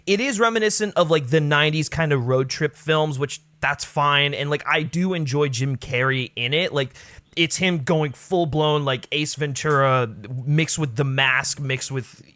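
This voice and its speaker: A man's voice: a little high, bright, forceful tone, dry recording. A man chatting casually about films as a podcast guest, emphatic and opinionated, peppering his talk with filler words. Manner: emphatic, peppered with "like" and "you know". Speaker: podcast guest, film commentator. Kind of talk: casual opinionated film chat.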